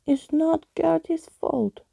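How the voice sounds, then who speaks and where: A woman's voice: extremely high pitch, slow, creaky, mellow, no room sound, voice pushed up, full character voice. A woman voicing a needy, childlike female ghost creature, plaintive and trembling, who talks of herself in the third person.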